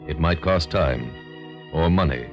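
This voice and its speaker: A man's voice: extremely low pitch, measured pace, gravelly, lo-fi sound, over music. A man delivering a grave, formal, exhortatory scripted appeal as the narrator of a radio drama.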